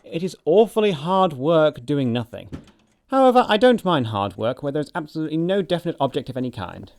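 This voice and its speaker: Posh english dandy, cheeky and arch, really animated intonation, tossing off lines in a comedy play. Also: male voice, on the high side, a little fast, gravelly. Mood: breezy. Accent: upper-class English. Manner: arch, playful, epigrammatic delivery. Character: witty, flippant, languid young English dandy. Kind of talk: stage comedy acting in an audiobook production.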